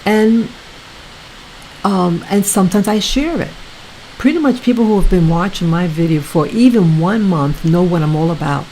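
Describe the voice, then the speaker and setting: A woman hosting her own video channel, talking casually to her viewers in a soft, soothing voice, halting often and repeating herself. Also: fairly low pitch, animated, slight background noise, low-quality recording. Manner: slow, repetitive, halting with many restarts. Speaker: YouTube channel host.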